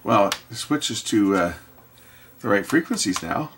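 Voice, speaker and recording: A man's voice: on the low side, measured pace. A man giving casual, hands-on repair commentary, thinking aloud and muttering to himself as he works in an indoor workshop. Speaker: electronics repairer hosting a repair video. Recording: faint steady background noise.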